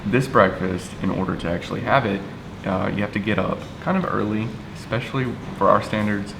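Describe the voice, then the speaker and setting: A man vlogging casually over breakfast in a hotel dining area, rambling with fillers, his voice a little raspy from the early hour. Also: lowish voice, a little fast. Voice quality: slightly raspy in the early morning. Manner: relaxed and rambling, with many fillers. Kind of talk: casual vlog chat. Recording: echoey room with background noise.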